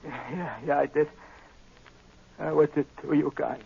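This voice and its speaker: An actor playing a stammering small-time crook who talks in street slang, in a 1940s radio drama. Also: male voice, fairly high pitch, fairly even intonation, a little slow, creaky, darkish tone, a little hiss or hum. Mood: frightened. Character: nervous small-time reformed crook, an eager tipster. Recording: muffled vintage 1940s radio recording.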